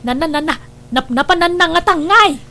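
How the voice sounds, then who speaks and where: A woman's voice: extremely high pitch, animated, a little fast, gravelly, sharp tone, a little hiss or hum, heavily acted. A woman acting in a radio drama as a gossipy friend confiding in a worried mother. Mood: curious, concerned.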